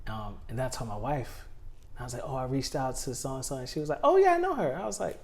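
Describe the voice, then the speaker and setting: A man's voice: mid-range voice, big pitch swings, quick pace. A man hosting a casual interview show, easygoing and rambling with frequent laughs. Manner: easygoing, rambling, many fillers and restarts, laughs. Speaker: podcast host.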